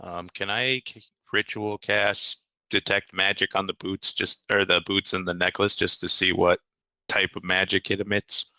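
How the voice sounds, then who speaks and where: A man's voice: low-pitched, little pitch movement, moderate pace, bright, forceful tone, no room sound, low-quality recording. A man chatting casually and playfully as a player in a tabletop game.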